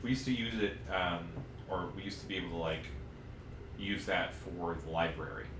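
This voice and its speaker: A man's voice: sits low, moderate pace, recorded from a distance. A man co-hosting a podcast in loose, informal, casual conversation. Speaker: podcast co-host. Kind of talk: casual chat. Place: untreated room, podcast recorded on a live stream. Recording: echoey room with noticeable background noise.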